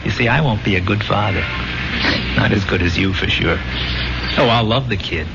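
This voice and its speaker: A man's voice: fairly low pitch, moderate pace, vocal fry. An actor playing a brash, streetwise soldier pleading with his buddy in a 1970s radio drama. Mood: explaining, resolute. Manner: streetwise, glib, persuasive. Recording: dull 1970s radio recording, music underneath.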